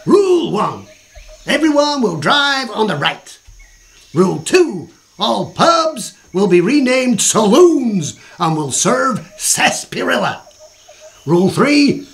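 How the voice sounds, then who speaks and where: An amateur actor playing a brash cowboy-style sheriff with a mock Southern American drawl in a radio play. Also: male voice, high-pitched, big pitch swings, slow, gravelly, fairly bright tone, full character voice. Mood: swaggering. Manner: drawling, folksy. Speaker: Sheriff Rusty.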